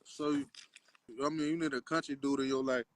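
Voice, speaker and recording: A man from South Carolina, flirting in a relaxed way over a video call. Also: fairly high pitch, fairly quick. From South Carolina, USA. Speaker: live call-in guest. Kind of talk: flirty casual chat.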